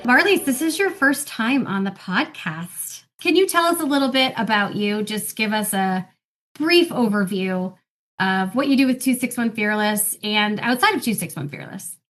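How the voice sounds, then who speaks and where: Higher-pitched woman hosting a podcast. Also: animated, normal speed, a bit bright, faint music behind. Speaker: podcast host.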